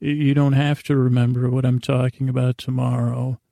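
A man hosting a bedtime podcast in a rambling, improvised monologue, halting and meandering with stammered restarts, self-deprecating and gently reassuring, in a gravelly voice. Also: mid-range voice, little pitch movement, dry recording. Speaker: podcast host.

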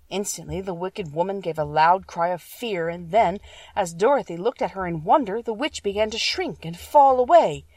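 A woman narrating a children's story from a script in an expressive storytelling manner. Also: on the low side, no echo, distant mic. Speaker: audiobook narrator.

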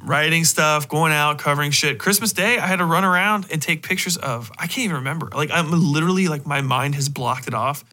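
Bright-voiced man rambling casually. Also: a little high. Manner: rambling, animated, heavily profane. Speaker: podcast host. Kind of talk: casual podcast monologue.

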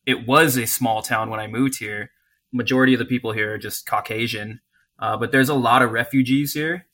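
A man answers interview questions in a casual, rambling manner, hedging as he goes. His voice has a bright, sharp edge. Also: medium pitch, steady tone, brisk. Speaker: food truck co-owner.

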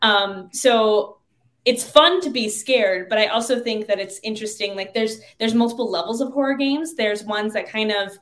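A woman's voice: mid-range voice, moderate pace, fairly bright tone. A woman in a casual podcast chat, explaining things in a slightly hesitant way. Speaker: podcast guest. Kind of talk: casual chat about video games.

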